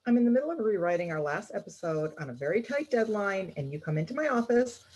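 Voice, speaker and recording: A woman's voice: mid-range voice, very animated, quick pace, a little mellow. A woman reading the part of an exasperated, curt fifty-year-old female TV showrunner in a comedy table read.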